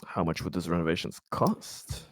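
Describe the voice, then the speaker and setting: A man's voice: deep voice, moderate pace, no room sound, studio quality. A man playing a tabletop game, chatting casually and eagerly, often repeating himself.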